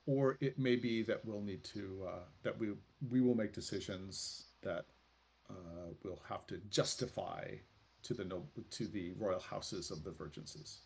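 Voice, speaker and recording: A low-voiced man acts as game master, narrating and improvising in character. His voice is husky with a creaky edge. He feels his way through sentences deliberately, pausing often. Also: darkish tone. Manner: halting, deliberate, with many pauses. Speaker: game master of a tabletop roleplaying game.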